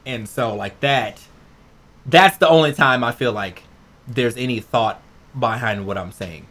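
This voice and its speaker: A man's voice: medium pitch, a little slow, fairly bright tone. A man co-hosting a podcast, chatting casually and emphatically in slangy speech, often repeating his phrases. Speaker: podcast co-host. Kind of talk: casual chat.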